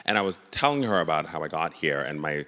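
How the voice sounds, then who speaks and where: Deep voice guy, telling his story on stage, very echoey hall. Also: lively intonation, fast talker, pushed and bright. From born in Zimbabwe, moved to South Africa. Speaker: event co-host. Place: large reverberant venue. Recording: long hall reverb.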